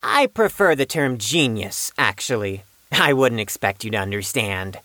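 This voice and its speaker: A woman's voice: rumbling low, expressive, normal speed, fairly bright tone, dry recording. A woman playing a bookish, haughty young know-it-all with pedantic, formal speech in an audio drama.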